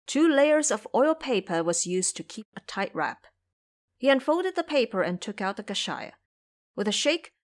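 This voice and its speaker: A woman narrator reading a scripted story in a dramatic storytelling style. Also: a little high.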